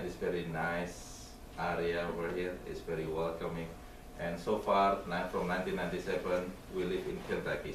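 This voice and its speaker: A man, introducing himself at a council meeting in a halting way, full of fillers. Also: sits low. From Indonesia. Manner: halting, frequent fillers and restarts. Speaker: college professor speaking at public comment. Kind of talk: informal public introduction. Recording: echoey room picked up from far off, steady noise.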